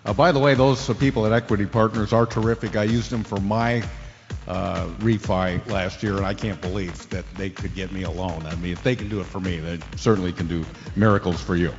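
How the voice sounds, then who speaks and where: An actor playing a genial, self-deprecating sports radio host giving loose show-opening patter. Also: male voice, low voice, steady tone, a little fast, long reverb tail, highs cut off, over music.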